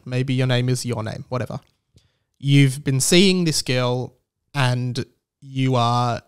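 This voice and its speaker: A man's voice: mid-range voice, clean tone. An Australian man in his thirties hosting a podcast, talking casually in a rambling, wry, mock-serious way. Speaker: podcast host. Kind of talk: casual solo podcast commentary.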